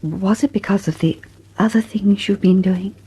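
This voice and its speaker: An actress playing an anxious, devoted wife in a radio drama, her voice breathy and husky. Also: medium pitch, moderate pace, warm tone, poor audio. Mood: anxious, tender.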